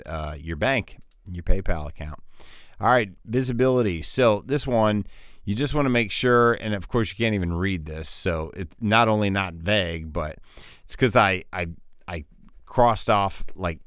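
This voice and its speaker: A man talks viewers through his freelance voiceover setup in a loose, rambling way, with frequent restarts and fillers. His voice sits a bit low, and the close, dry mic leaves almost no room sound. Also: a bit bright, smooth, no echo. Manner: rambling, informal, self-interrupting with fillers. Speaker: voiceover artist giving a tutorial. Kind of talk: casual screen-share tutorial. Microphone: studio voiceover mic.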